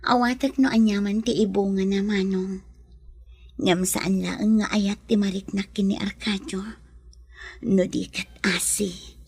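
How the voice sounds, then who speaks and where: Woman playing a breathy, tender young lady. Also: medium pitch, fairly even intonation, measured pace, long pauses, a little mellow. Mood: resigned, tender. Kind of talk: audio drama acting.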